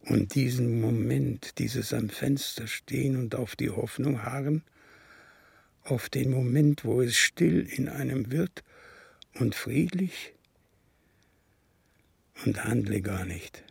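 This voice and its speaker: A bitter old communist man in a radio play, his voice deeply gravelly and very husky. He speaks slowly, with solemn, halting weight, brooding over his guilt. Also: dry recording, exaggerated voice. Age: older. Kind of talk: radio drama acting with monologue.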